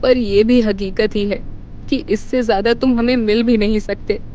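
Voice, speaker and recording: A woman's voice: on the high side, steady tone, normal speed, warm tone, slight background noise, close to an everyday voice. A woman acting a resolute, dutiful spy in love, in a romantic audio drama. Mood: firm, sad.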